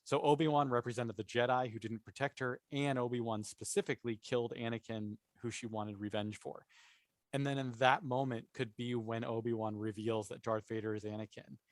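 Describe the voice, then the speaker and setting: A man's voice: medium pitch, steady tone, brisk, dry recording. A man talking casually as a podcast panelist, explaining his opinions and thinking aloud as he goes. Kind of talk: casual opinionated discussion of a TV series.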